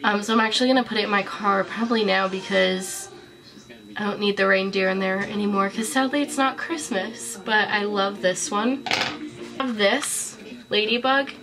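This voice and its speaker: A woman's voice: mid-range voice, moderate pace, crowd noise, poor audio. A woman in her twenties with a cold, chatting casually to the camera in a bedroom as she shows off a collection. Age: 20s. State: sick with a cold. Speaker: vlogger. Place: small bedroom, indoors.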